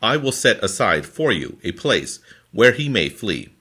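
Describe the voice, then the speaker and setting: A man reading scripture from a formal translation in a deliberate, phrase-by-phrase manner. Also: lowish voice, very animated, pushed and bright. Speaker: scripture reader.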